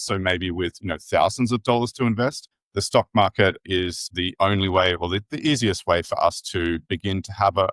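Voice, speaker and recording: A man's voice: lowish voice, fairly bright tone, no echo. An Australian man in his forties, a podcast guest explaining his thinking at length in a thoughtful, rephrasing way. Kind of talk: casual interview chat.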